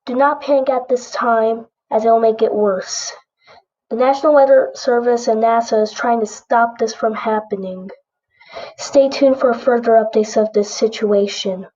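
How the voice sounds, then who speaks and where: A woman's voice: a little high, steady tone, unhurried, darkish tone, dull audio. A female synthetic-sounding voice playing a formal, impersonal weather-warning announcer reading a scripted alert.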